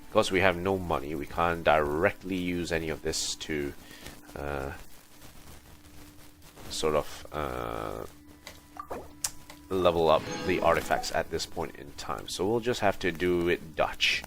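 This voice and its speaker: A man's voice: a bass voice, measured pace, fairly bright tone. A man livestreaming a game, muttering commentary to himself in short fragments as he plays. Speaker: game streamer. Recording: noisy livestream mic. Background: background noise.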